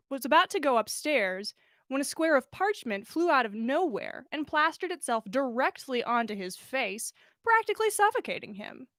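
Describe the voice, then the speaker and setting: Bubbly woman host w a high voice, dry sound, chatting casually and reading fanfic on stream. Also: a bit bright, no room sound. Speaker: podcast host and story reader. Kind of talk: casual livestream chat and fan fiction read-aloud.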